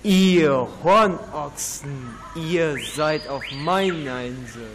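A man acting a menacing pirate captain in an amateur radio play, slow and commanding, in a reverberant recording. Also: on the high side, lively intonation, fairly bright tone, slight background noise, heavily acted. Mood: scornful. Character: bossy male pirate captain ruling his island, menacing.

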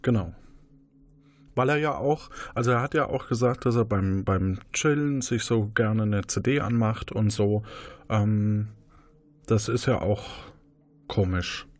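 A man's voice: lowish voice, unhurried, no echo. A man discussing a case in an improvised role-play, speaking in a hesitant, tentative way.

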